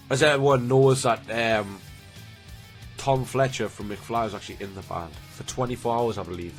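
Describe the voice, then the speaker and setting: Low-voiced man with a cold. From Newcastle, England. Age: 20s. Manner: rambling, chatty, with frequent ums and restarts. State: has a cold, slightly snotty. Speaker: YouTuber. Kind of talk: casual chat to camera.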